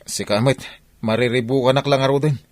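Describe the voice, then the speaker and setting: A man's voice: medium pitch, steady tone, a little fast, natural voice. A man with an Ilocano accent playing a glum, broke friend in a radio comedy drama, plaintive and hesitant. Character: glum, broke man with woman troubles, touchy among friends.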